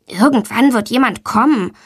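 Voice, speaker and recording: A woman voicing a frightened and stubborn young schoolgirl who calls out high, pleading and cross. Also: moderate pace, breathy edge. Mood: worried.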